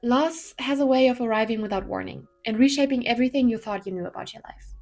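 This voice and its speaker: A woman's voice: fairly high pitch, quick pace, a little mellow. A woman narrating a scripted drama review in an earnest, conversational tone with light humor. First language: not Korean. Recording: faint music underneath.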